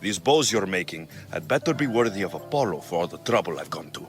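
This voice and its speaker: An actor playing a gruff, honest and weary mercenary with a dry, sardonic delivery in a video game. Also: male voice, deep voice, big pitch swings, fast, soft background music. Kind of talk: video game cutscene acting.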